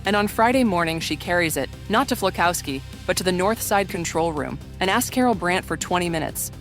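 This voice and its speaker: A woman giving solemn, measured, literary narration for a scripted audio drama. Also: fairly low pitch, lively intonation, faint music behind.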